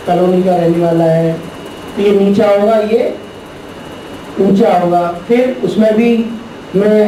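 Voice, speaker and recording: Man, spiritual lecturer, unhurried, rhetorical questions, distant noisy room recording. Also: high-pitched, warm tone, heavy reverb. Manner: slow, deliberate teaching with rhetorical questions and repeated phrases. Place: large echoey classroom. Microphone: distant room mic.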